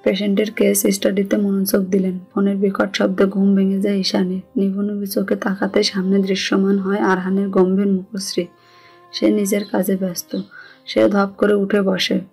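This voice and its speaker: A woman's voice: medium pitch, monotone, normal speed, gravelly, a little mellow, husky. A woman with a Bangladeshi accent reading scripted narration of a romantic story. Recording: music under the voice.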